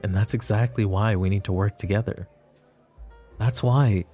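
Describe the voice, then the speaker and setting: A man voicing a possessive, commanding mafia boss in a boyfriend ASMR roleplay, speaking softly and intimately to the listener. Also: lowish voice, steady tone, normal speed, faint music behind, natural voice. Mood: soothing. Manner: soft, intimate, addresses listener directly.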